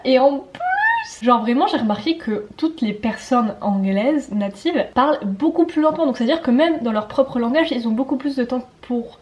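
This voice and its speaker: A woman in her twenties, not a native speaker and speaking with an accent, chatting casually to camera at home in the evening, talking fast, rambling and correcting herself with little giggles. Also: high-pitched, sing-song. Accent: non-native French. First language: not French. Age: 20s. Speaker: vlogger.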